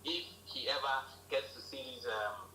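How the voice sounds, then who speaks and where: A man with a Nigerian accent telling his life story as an interview guest, animated and repeating words, laughing often. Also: mid-range voice, moderate pace, reverberant, slight background noise, rough recording. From Lagos, Nigeria.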